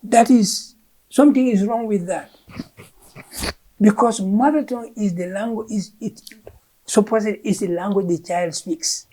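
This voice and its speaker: A woman's voice: mid-range voice, moderate pace, pauses often, warm tone, rough recording. A Gambian woman whose first language is Fula, a curriculum official explaining education policy in an interview in an emphatic manner, repeating words as she searches.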